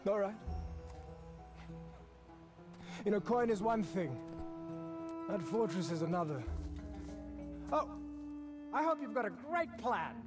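An actor playing an exasperated, coin-minded mercenary captain in a video game, with emphatic, complaining delivery. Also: male voice, sits high, big pitch swings, a little slow, pauses often. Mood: wary, grudging. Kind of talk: dramatic video game acting. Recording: music behind game dialogue.

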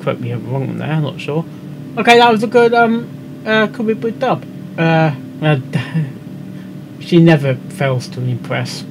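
A young man with an English accent giving casual, halting, rambling reaction commentary as the host of a video. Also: on the high side, sing-song, background noise. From England. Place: indoor room at a computer. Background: music.

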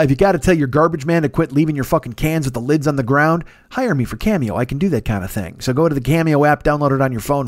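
Fast-talking comedian host doing plugs. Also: male voice, fairly high pitch, no room sound, clean studio sound. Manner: rambling, mock-demanding, jokey asides. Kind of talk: casual sponsor plug.

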